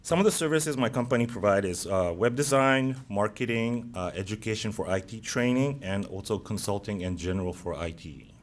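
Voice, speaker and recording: A man in his thirties from Japan, a native Japanese speaker with a Japanese accent, giving a seminar presentation in a halting way with many "uh" fillers. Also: lowish voice, animated. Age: 30s. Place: seminar room.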